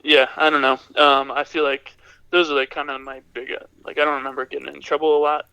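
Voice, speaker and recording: Raspy, creaky-voiced man, slightly sick. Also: fairly high pitch, flat delivery, quick pace, bright, forceful tone, no room sound, dull audio. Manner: hesitant, self-deprecating, dry humor. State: voice roughened by being sick. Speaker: podcast guest. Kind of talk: casual chat, reflective.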